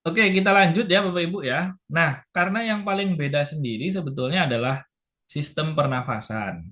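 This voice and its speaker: A man lecturing health workers, slow and patient, repeating key points, with a sore throat that makes him clear his throat. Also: a little high, pushed and bright.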